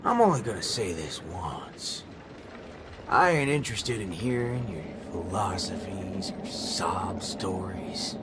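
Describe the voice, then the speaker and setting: An actor voicing a brash, gruff cowboy gunslinger with a Western American drawl and folksy swagger in a video game. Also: male voice, low voice, animated, slow, noisy background. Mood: cool, threatening. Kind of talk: video game character acting. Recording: loud game music behind the voice.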